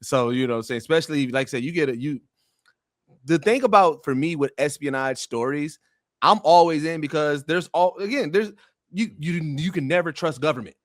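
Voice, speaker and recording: An American man in casual podcast chat, telling things in a slangy, animated way. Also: fairly high pitch, fairly quick, no echo, clean studio sound. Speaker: podcast panelist.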